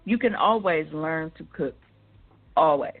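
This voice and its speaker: A woman's voice: deep voice, animated, measured pace. A woman in her thirties with an American accent, chatting casually in a blunt, profane and emphatic way. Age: 30s. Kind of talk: casual chat. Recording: thin phone-like audio.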